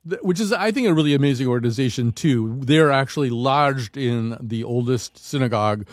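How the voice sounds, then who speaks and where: A man's voice: mid-range voice, a little fast, no room sound, clean studio sound. A man hosting a call-in radio show, talking casually with many fillers and restarts. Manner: rambling, frequent fillers and restarts. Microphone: studio microphone.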